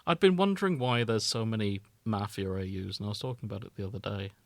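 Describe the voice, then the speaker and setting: A man's voice: lowish voice, fast, gravelly, no echo. A man co-hosting a podcast, chatting in a casual, joking way. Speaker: podcast host. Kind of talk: casual comedic podcast chat.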